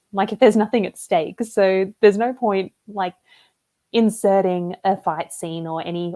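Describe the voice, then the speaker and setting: An Australian woman talks over a video call in an easy, conversational way. Her voice sits at a middle pitch, and she fills her speech with casual asides as she explains her writing. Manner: chatty and relaxed, with frequent "like" and "um" fillers. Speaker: debut novelist interviewed about her book.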